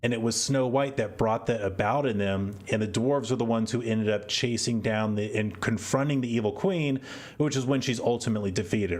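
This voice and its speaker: A man hosting a podcast, giving casual, exasperated commentary and often repeating words as he thinks aloud. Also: on the low side. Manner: opinionated, exasperated. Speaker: podcast host.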